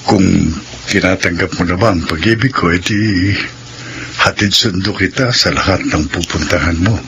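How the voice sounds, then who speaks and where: A man's voice: deep voice, normal speed, noisy background. A man with a Filipino accent acting an earnest, patient middle-aged suitor in a radio drama.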